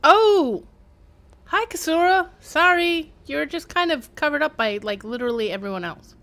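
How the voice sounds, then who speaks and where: A woman's voice: sits high, expressive, moderate pace, a bit bright. Wry woman streaming a game. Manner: wry, rambling, chatty. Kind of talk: casual live gameplay commentary.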